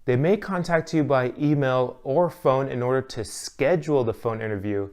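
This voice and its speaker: A man narrates instructional material as a course teacher. His voice sits at a medium pitch. He speaks in a clear, measured way, giving practical advice from a prepared script. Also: a little mellow.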